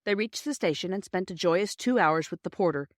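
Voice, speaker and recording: A woman's voice: on the low side, expressive, brisk, no echo, studio quality. A woman reading a storybook aloud as scripted narration. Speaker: audiobook reader.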